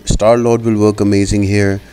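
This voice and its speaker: Low-voiced man explaining a game walkthrough. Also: flat delivery, normal speed, mellow. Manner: explanatory, instructional. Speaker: gaming guide host.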